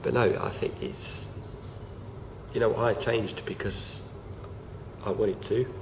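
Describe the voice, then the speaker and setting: Croaky, hesitant young man. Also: fairly low pitch, fairly even intonation, slow pace. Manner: hesitant, many fillers and restarts. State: croaky from feeling unwell. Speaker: vlogger. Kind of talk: casual talk to camera. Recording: noisy home recording.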